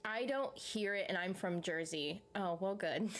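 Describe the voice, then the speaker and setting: A woman's voice: mid-range voice, measured pace. Woman, Southern US accent, YouTube host, chatty live stream banter. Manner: chatty, rambling, answers chat comments. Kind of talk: casual live chat with viewers.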